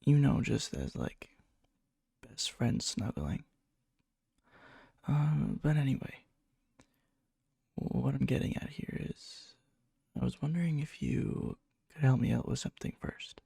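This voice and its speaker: A man playing a needy, lovesick young best friend in an ASMR roleplay, speaking softly and hesitantly with a breathy, often whispered murmur and nervous stammers. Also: mid-range voice, sing-song, takes it slowly, pauses often, dry recording, clean studio sound, heavily acted. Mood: nervous, eager. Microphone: close microphone, dry.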